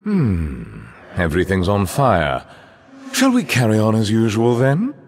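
Theatrical, swooping vampire lord. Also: male voice, on the low side, huge pitch swings, a little hiss or hum, exaggerated voice. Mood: wry. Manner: theatrical, aristocratic, pompous, drawn-out menace. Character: haughty male vampiric Venthyr lord, sadistically gleeful. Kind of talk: video game character voice lines.

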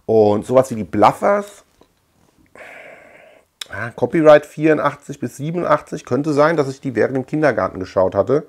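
A man's voice: medium pitch, animated, moderate pace, a little mellow. A man in his thirties talking casually in a chatty, digressive way, thinking aloud as he hosts a collector vlog. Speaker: host of a collector video channel. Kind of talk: casual rambling vlog talk. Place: small indoor room lined with shelves.

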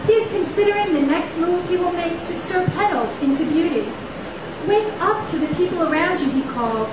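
A woman in her thirties giving a dramatic poetry reading, with emphatic, deliberate phrasing and rhetorical repetition. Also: very high voice, animated. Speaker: poet reading own work. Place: small indoor poetry venue on stage. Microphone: stand microphone, picked up by camera. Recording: echoey live room, distant camera, faint hiss.

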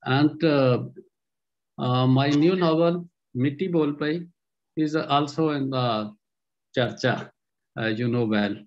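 A man in his sixties from Punjab introducing himself on a video call, halting, with many fillers. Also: mid-range voice. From Punjab, India. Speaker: guest poet. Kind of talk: casual self-introduction. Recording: dull lo-fi call audio.

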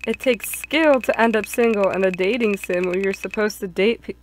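A woman's voice: mid-range voice, moderate pace, slight background noise, poor audio. A woman playing a visual novel with friends, reading dialogue aloud and chatting casually. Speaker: player in a group gaming stream.

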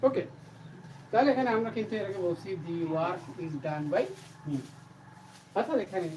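Animated, bright-voiced woman teaching. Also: mid-range voice, normal speed. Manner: asks questions and answers them herself. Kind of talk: grammar lesson to camera. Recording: distant mic, faint background noise.

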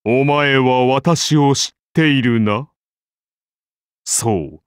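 A man's voice: mid-range voice, extremely slow, clear, resonant tone, no room sound, clean studio sound. A man voicing a majestic, benevolent Roman founder-king turned god in an anime game, with grand, solemn, archaic declamation. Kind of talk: anime game character voice lines.

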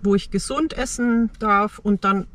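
A middle-aged woman talking reflectively in short, halting phrases in a personal vlog, recorded inside a parked car. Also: mid-range voice. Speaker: travel vlogger.

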